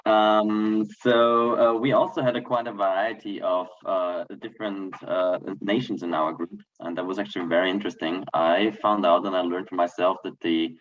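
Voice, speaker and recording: A very deep-voiced man reports back from a discussion group in an online seminar. The audio is dull and lo-fi. He pauses often, filling the gaps with "uh" and "um". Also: fairly even intonation. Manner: hesitant, many fillers. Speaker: workshop participant.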